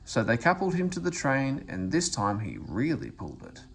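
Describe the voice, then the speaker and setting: A man reading a children's storybook aloud as an expressive narrator. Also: mid-range voice, normal speed, creaky, slight background noise. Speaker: story reader.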